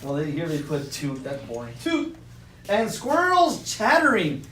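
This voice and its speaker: A woman reading a storybook aloud to a live group with dramatic emphasis, pausing to ask them questions. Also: lowish voice, a little hiss or hum. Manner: expressive, dramatic emphasis, engages listeners with questions. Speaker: storybook reader. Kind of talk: interactive children's read-aloud. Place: indoor room with a live audience. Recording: echoey room, distant mic, audience chatter.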